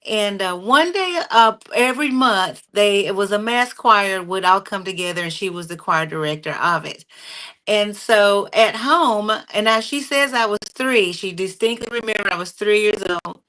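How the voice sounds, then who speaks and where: A woman's voice: medium pitch, moderate pace, rough recording. A middle-aged woman, a singer, talking casually with many fillers as she tells stories of her career in an interview. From North Carolina. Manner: animated, warm storytelling with frequent fillers. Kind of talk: conversational storytelling.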